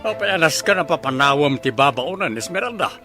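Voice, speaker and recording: A man's voice: fairly high pitch, expressive, fairly quick, music in the background, natural voice. A man playing an exasperated but reasonable middle-aged husband in a radio drama, reproachful, with a Filipino accent.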